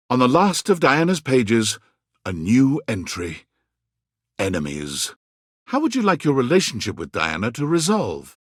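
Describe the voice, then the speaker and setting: A man giving scripted, theatrical narration for a game, telling the story in an expressive, dramatic way. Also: medium pitch, slightly rough, dry recording, clean studio sound.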